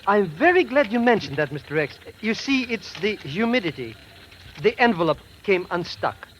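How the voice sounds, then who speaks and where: High-voiced, excitable radio sidekick. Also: male voice, moderate pace, dull audio. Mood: uneasy. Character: nervous, nosy male sidekick of a secret agent. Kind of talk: 1940s radio drama acting. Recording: noisy old radio recording.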